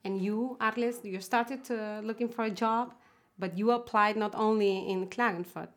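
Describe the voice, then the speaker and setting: A woman from Catalonia, Spain, speaking as an interview guest in a casual, hesitant way, with many fillers and soft trailing phrases. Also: mid-range voice, steady tone, normal speed. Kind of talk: casual conversation.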